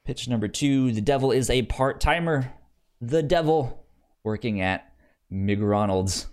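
A man co-hosting a podcast in casual chat, reading out pitches and often stammering over words as he reads aloud. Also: unhurried, a little mellow. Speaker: podcast co-host.

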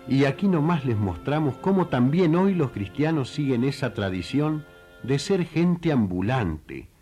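A man's voice: mid-range voice, mellow. A man giving scripted radio play narration in a storytelling manner that addresses listeners directly.

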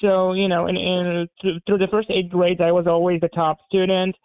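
A man from Poland whose first language is Polish, talking as an interview guest in a halting way, with many repeated words and restarts. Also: high-pitched, little pitch movement, smooth, no room sound. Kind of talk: casual interview talk. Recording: phone-like narrow band.

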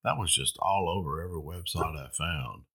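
Low, bright-toned man. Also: brisk, breathy edge. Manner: short, matter-of-fact replies. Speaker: father pouring the blind drink. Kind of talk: casual chat.

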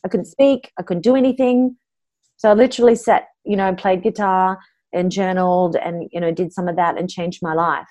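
A woman's voice: lowish voice, fairly even intonation, normal speed, dark tone. A middle-aged woman with an Australian accent, a voice coach telling her own story openly and earnestly in an interview.

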